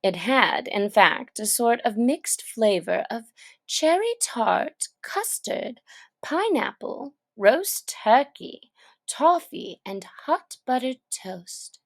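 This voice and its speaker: A woman narrating a children's story from a script, with expressive, deliberate and dramatic phrasing. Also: fairly high pitch, gravelly, no room sound.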